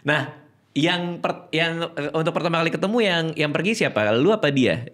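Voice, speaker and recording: A man with a Jakarta accent hosting a casual talk show, asking questions eagerly and reacting often with excitement. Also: on the high side. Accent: Jakarta Indonesian. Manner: animated, eager, rapid interjections. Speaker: host. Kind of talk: casual chat.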